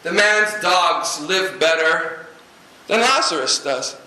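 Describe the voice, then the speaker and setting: A man from Guyana preaching a sermon in a lively, humorous way, with jokes and asides to the congregation. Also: on the high side, slow, roomy sound, poor audio. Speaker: pastor.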